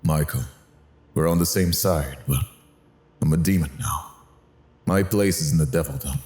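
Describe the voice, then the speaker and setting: Extremely deep fallen angel, acted by a man. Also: normal speed, a little mellow. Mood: grave. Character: grave fallen angel turned demon. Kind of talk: audio drama acting. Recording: echoey, roomy sound.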